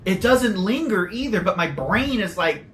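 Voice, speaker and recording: A man's voice: high-pitched, lively intonation, moderate pace, fairly bright tone, a little hiss or hum. A man casually reviewing sodas on his channel, chatty and prone to rambling tangents, with the odd swear word and no regional accent. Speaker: soda reviewer.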